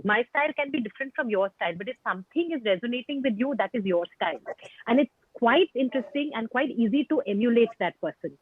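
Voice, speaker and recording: A woman's voice: fairly high pitch, husky, dry recording. A woman from India with a Bengali accent, a guest speaker giving frank, emphatic advice on a video call. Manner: frank, emphatic, repeats phrases. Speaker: guest speaker on communication skills. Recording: tinny phone-like call audio, dry.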